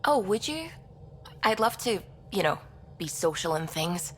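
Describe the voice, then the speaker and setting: An actress playing an earnest, curious and sociable young science crew member in a video game, chatty with hesitant restarts. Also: female voice, mid-range voice, big pitch swings, measured pace, a bit bright, soft background music. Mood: friendly, hopeful.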